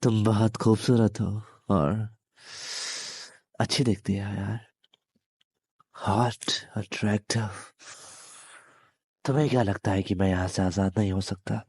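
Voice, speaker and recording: A man voicing a possessive, menacing demon in human form for a roleplay audio, with deliberate, taunting delivery. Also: fairly even intonation, dark tone, dry recording. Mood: menacing, gloating. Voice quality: deep, smooth. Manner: slow, deliberate, taunting with mock sympathy and pauses. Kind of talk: intimate dramatic roleplay audio.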